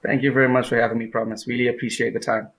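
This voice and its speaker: A man's voice: medium pitch, level tone, rapid-fire, a bit bright. A young man from Zimbabwe, a guest in an interview, answering with many fillers and restarts.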